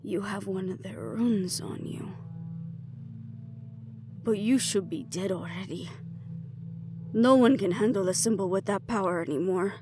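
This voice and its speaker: A woman voicing a guarded, grudging, defiant old female vampire elder in an audio roleplay, speaking in a halting, solemn way. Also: medium pitch, over music, lightly acted. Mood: shocked.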